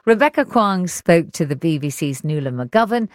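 A woman's voice: on the low side, lively intonation, moderate pace, no echo. A woman presenting an arts programme in a broadcast style, introducing and linking items.

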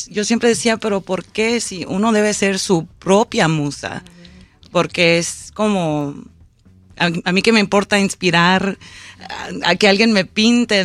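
A woman singer and festival organizer being interviewed, speaking in a reflective way and often pausing and repeating words as she searches for them. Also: on the low side, a bit bright, no room sound. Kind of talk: interview guest.

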